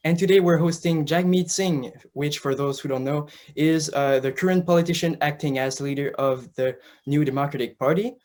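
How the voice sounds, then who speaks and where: A man's voice: fairly high pitch, normal speed, hardly any pauses, a little mellow. A young man co-hosting a student interview, reading prepared questions politely with some hesitant fillers. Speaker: student co-host.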